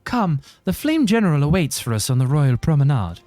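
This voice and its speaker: A man's voice: fairly high pitch, sing-song, fairly quick, mellow, dry recording, faint music behind. A man voicing an eloquent, composed young nobleman in a fantasy game, with formal and lofty phrasing.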